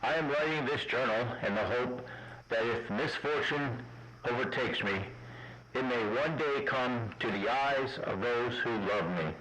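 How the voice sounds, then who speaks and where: A man playing an earnest law clerk, narrating a travel journal in a formal, measured radio drama style. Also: mid-range voice, pushed and bright. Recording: noisy amateur radio drama.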